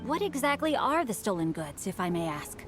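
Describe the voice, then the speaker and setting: A woman's voice: mid-range voice, very animated, moderate pace, music in the background, close to an everyday voice. A woman voicing a polite, chivalrous teenage boy of a wealthy house in a video game, with flowery, courtly speech. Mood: earnest, chivalrous. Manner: polite, flowery, smooth-tongued. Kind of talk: English video game voice acting.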